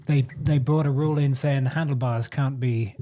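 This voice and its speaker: A man's voice: mid-range voice, steady tone, normal speed, dark tone. A man co-hosting a cycling podcast, giving his opinion in casual chat and restarting his phrases as he goes. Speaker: podcast co-host.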